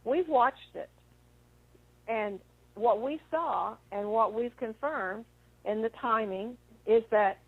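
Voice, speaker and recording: A woman on a phone call, firmly questioning an official and pressing for numbers. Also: a little high. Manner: firm, probing questions. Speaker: call participant. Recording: tinny phone line.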